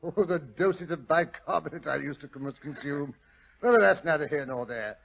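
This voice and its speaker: Excitable, proper British gentleman companion, higher-pitched and husky, vintage radio drama. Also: moderate pace. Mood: genial. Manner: blustering, exclamatory, proper. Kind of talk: 1940s radio acting. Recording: muffled 1940s radio broadcast.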